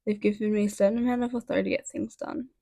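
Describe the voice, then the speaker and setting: A woman voicing an authoritative, brisk businesswoman in her forties in a storybook reading, matter-of-fact in manner. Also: mid-range voice, steady tone, vocal fry, dark tone, dry recording. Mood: brisk, official. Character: authoritative mid-forties European businesswoman, brisk task force official.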